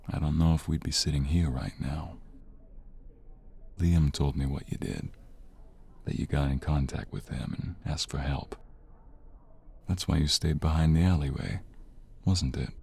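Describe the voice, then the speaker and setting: A man performs a remorseful mobster speaking softly to the one he loves. The voice is extremely deep and husky, with an unhurried pace. It is intimate and weary, in the style of an ASMR romance roleplay. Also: exaggerated voice. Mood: tender. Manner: soft, slow, intimate, sighing. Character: tender, weary young male mobster, devoted, remorseful boyfriend.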